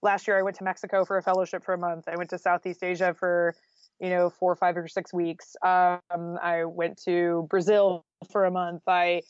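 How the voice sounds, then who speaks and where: A woman's voice: on the low side, little pitch movement, moderate pace, no room sound. Female interview guest, American, candid and informal, conversational delivery, dry and slightly muffled recording. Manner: candid, informal, peppered with "like" and "you know". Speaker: guest, freelance journalist.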